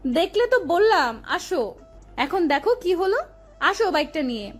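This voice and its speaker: A woman's voice: very high-pitched, big pitch swings, a little slow, soft background music, exaggerated voice. A woman playing a doting, bossy, emotional young woman in love in an audio romance. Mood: scolding. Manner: fussing, commanding, emotional. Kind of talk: audio love story dialogue.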